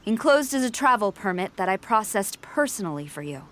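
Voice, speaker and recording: A woman's voice: mid-range voice, sing-song, a little fast, a little hiss or hum, close to an everyday voice. A woman voicing a stern, formal female military general in a game, speaking in a clipped, commanding way. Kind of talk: video game cutscene dialogue.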